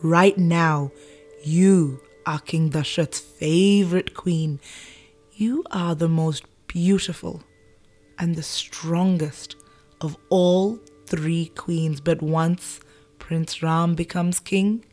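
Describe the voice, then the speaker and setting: A woman's voice: medium pitch, very animated, slow pace, darkish tone, faint music behind. A woman voicing a greedy, scheming old female maid with a dramatic, sly, whispering manner. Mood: conspiratorial.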